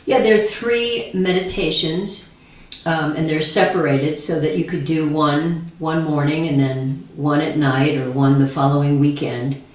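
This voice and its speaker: A woman guest speaker explaining meditations calmly, in a slow, gentle, reflective way. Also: low-pitched. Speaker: guest speaker, shamanism teacher. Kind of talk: calm guided explanation. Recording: distant echoey dull video call audio.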